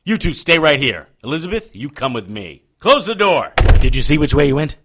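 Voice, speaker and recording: A wisecracking supernatural private eye for an audio drama. His pitch swings freely through dry, clipped remarks. He sounds world-weary and sardonic throughout. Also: very animated, brisk, noisy background. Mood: brisk, commanding.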